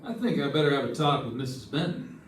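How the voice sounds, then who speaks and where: A man's voice: mid-range voice, expressive, quick pace, natural voice. A man playing a dry, probing insurance investigator in an old-time radio detective drama, with wry, clipped questioning. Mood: wry narrating. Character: dry, probing male insurance investigator narrating his case. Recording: roomy, distant stage recording with music.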